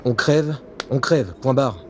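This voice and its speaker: An actor playing a weary, sardonic secret agent in an audio drama, sarcastic and given to muttering. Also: male voice, medium pitch, animated, slow, slight background noise. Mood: jaded.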